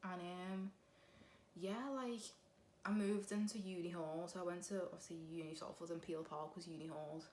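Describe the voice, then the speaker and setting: Liverpool woman in her twenties, rambling casual vlog chat, low, flat delivery, echoey room. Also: moderate pace. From Liverpool, England. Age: 20s. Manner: chatty, rambling, lots of "like". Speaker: beauty vlogger. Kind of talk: casual get-ready-with-me chat. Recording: echoey room, faint background hum.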